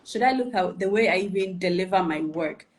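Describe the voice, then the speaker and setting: A woman's voice: medium pitch, brisk. A woman coaching on personal branding in a live video chat, explaining patiently and often checking that the listener follows. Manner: explanatory, checks for understanding with "right?" and "okay?"; builds points with repeated questions and enumerations.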